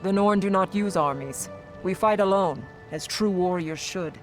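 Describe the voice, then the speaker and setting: An actress playing a proud Norn warrior woman in a fantasy video game, speaking gravely and formally. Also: fairly low pitch, measured pace, faint music behind, close to an everyday voice. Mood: proud, firm.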